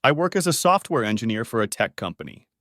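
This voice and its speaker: A man teaching beginner English, reading short model sentences clearly and saying each one twice. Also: mid-range voice, very animated, fairly bright tone, dry recording, clean studio sound. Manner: says each phrase twice, clearly and deliberately.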